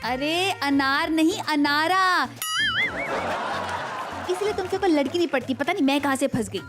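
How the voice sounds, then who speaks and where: High-voiced woman emceeing over loud music. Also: a little slow. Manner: playful, teasing banter. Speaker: award show host. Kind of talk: stage emcee patter. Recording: loud music behind stage voice.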